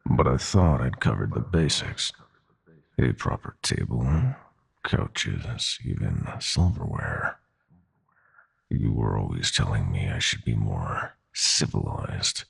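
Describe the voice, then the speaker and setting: A sadistic nightmare prince with an extremely deep voice full of gravel. He drawls slowly, mock-polite and smug, savoring his taunts. The delivery is theatrical audio-drama villainy, breathy and menacing. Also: heavily acted. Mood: mock offended. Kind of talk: audio roleplay villain acting.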